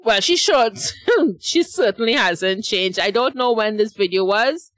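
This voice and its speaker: A woman's voice: medium pitch, lively intonation, a little slow, music in the background. A middle-aged woman with a Trinidadian accent hosting a reaction channel, chatting casually to viewers and laughing at her own slips. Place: small dark home studio. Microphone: large studio mic with headphones.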